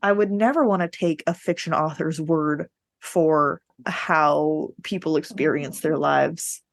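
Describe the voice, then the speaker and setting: A woman's voice: low-pitched, unhurried, creaky. A woman co-hosting a podcast, casually discussing books in a candid, opinionated way with a readiness to laugh. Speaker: podcast co-host.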